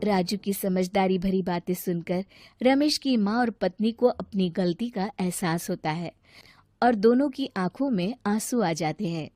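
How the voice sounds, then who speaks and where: A woman narrates a scripted moral tale as a storyteller. Her voice is medium in pitch and recorded close and dry. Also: measured pace, warm tone, dry recording.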